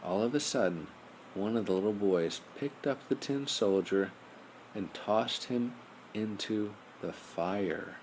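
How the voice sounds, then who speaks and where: Low-voiced man reading a bedtime story. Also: slow pace, a little mellow. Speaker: audiobook narrator. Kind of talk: scripted bedtime story narration. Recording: noisy amateur home recording.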